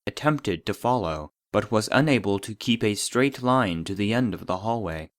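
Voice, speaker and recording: An actor playing the formal, detached voice of a secret archive database, narrating a scripted report in an audio drama. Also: male voice, on the low side, lively intonation, normal speed, a little hiss or hum, natural voice. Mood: clinical, detached.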